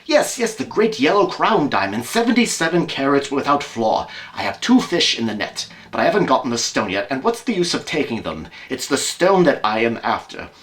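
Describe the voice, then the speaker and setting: Man playing a brisk, wry, animated detective. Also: medium pitch. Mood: animated, confiding. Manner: emphatic, wry, theatrical. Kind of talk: amateur audio drama acting.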